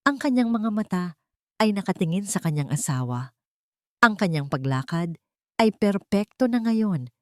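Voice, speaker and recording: A woman narrating a sentimental love story in measured, dramatic short phrases. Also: medium pitch, a little mellow, dry recording, clean studio sound.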